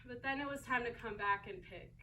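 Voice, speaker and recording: A woman's voice: fairly high pitch, a little fast, sharp tone, a little hiss or hum. A young woman student actor playing a migrant farm girl who recalls hardship in a theatrical oral history dramatization. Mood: reminiscing. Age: young adult. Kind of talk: theatrical two-person oral history storytelling. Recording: distant microphone.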